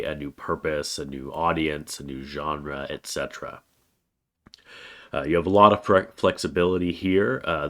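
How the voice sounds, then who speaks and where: Very deep-voiced man with a cold. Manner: plain, steady delivery with frequent "uh" fillers. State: has a cold, slightly congested. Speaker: college writing instructor. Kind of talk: recorded course overview lecture.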